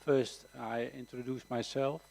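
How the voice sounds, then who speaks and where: Slow, soft-spoken man in his fifties. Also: mid-range voice, little pitch movement, creaky. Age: 50s. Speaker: academy technical coordinator. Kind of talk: press conference self-introduction. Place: press conference room.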